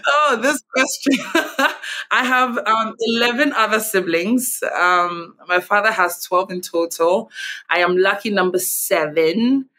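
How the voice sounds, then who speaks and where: A young Ghanaian woman with a Ghanaian accent, chatting as a guest over a remote call, lively with frequent laughs. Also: medium pitch, slow. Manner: lively, laughs often, many fillers. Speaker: guest, TV host. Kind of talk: casual interview chat. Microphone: video call.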